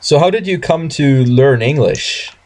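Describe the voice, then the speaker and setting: A man whose first language is German, co-hosting a casual podcast, mostly giving short, unhurried agreeing replies. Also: a little high, darkish tone. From United States. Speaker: podcast co-host. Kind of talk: casual chat.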